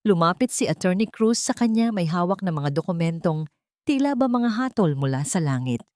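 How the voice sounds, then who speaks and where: A Filipino woman narrates a dramatic scripted story. Her voice sits a little low and is recorded very dry, with measured, emotive phrasing. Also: expressive, darkish tone, dry recording.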